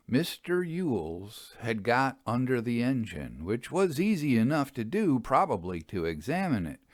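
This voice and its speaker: Unhurried, expressive male story reader. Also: medium pitch, no room sound, clean studio sound. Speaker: audiobook reader. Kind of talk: scripted narration of a first-person Victorian railway ghost story.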